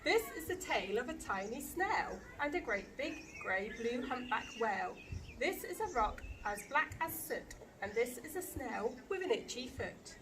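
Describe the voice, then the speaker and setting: A woman with a high voice reads a rhyming picture book to children. Her delivery is dramatic and very lively, swinging up and down in pitch. There is clear noise behind her. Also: normal speed. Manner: animated, dramatic storytelling. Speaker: storybook reader, school staff member.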